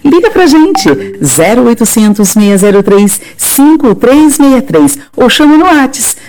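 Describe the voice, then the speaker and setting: Female ad voice, animated pitch, scripted radio spot. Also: slow pace, slight background noise, poor audio. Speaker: ad announcer.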